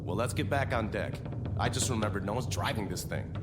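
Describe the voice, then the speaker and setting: An actor playing an alarmed, questioning young man in an audio drama, excitable, with loud sound behind him. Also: lowish voice, brisk, very noisy, close to an everyday voice. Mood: wary. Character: alarmed, questioning young male friend in a monster adventure. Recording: loud music and engine behind.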